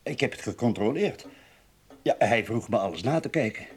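An actor playing a smooth male office boss in a radio drama, placating and hesitant yet pressing. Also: medium pitch, lively intonation, normal speed, close to an everyday voice. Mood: uneasy, pressing.

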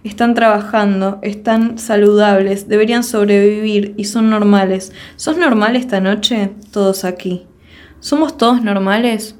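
A woman reciting a long first-person poem in a measured, declamatory way, full of rhetorical questions. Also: mid-range voice, steady tone. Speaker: poetry reader. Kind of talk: dramatic poetry recitation.

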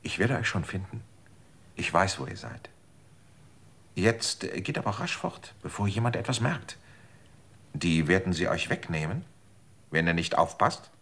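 A man's voice: deep voice, big pitch swings, a little slow, long pauses, a bit bright, husky, full character voice. An actor playing a desperate, manipulative man in a radio drama, coaxing and then threatening. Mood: scheming. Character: desperate, manipulative man seeking longer life.

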